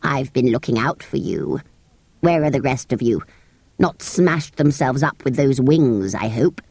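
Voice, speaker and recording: A woman's voice: extremely low pitch, animated, unhurried, a little mellow, husky, no echo, pitched below the performer's own voice, exaggerated voice. A woman voicing a grumpy, weary sand fairy creature in a storybook, with a dry, curt manner.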